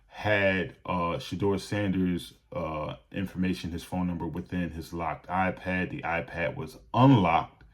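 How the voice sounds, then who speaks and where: A man hosting a show of casual sports commentary, talking straight to viewers in a deliberate, halting way. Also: deep voice, fairly even intonation. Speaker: host.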